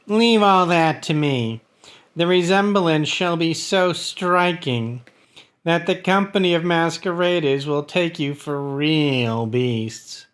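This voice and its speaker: A woman voicing a crippled male court jester in a gothic tale, outwardly tranquil and quietly cunning, with measured delivery. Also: deep voice, pitched below the performer's own voice. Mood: sly calm. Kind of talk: dramatic gothic story reading.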